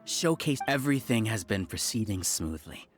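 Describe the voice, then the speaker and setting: A man's voice: fairly low pitch, very animated, normal speed, faint music behind. A man voicing a smug, scheming researcher who leads a cult in a video game, grandiose and condescending. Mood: gloating. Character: smug scheming male researcher leading a hive-mind cult. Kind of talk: dramatic video game villain acting.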